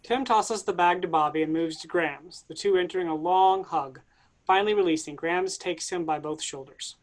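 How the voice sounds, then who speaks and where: A woman's voice: on the low side, moderate pace. A woman reading stage directions as scripted narration for a staged play reading.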